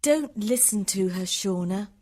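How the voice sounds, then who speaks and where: A woman's voice: mid-range voice, lively intonation, unhurried, warm tone. A woman playing a kind young woman with a cheerful, soothing nature in an acted audiobook. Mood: warm, soothing.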